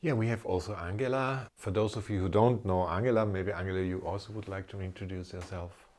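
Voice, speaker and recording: Man, low voice, conversational podcast host, slightly halting delivery with repeated words. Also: darkish tone. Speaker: podcast host, corporate lawyer.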